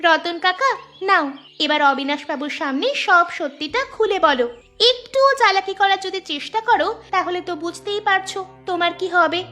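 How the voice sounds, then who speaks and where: A woman's voice: very high-pitched, moderate pace, pushed and bright. A woman voicing a determined, crafty young village girl in a Bengali cartoon, speaking firmly and insistently. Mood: stern. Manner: firm, insistent. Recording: music behind the voice.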